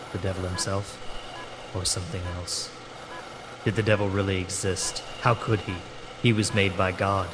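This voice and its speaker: A man's voice: low-pitched, very noisy. A man giving a grave, sombre first-person narration in a dramatic horror style.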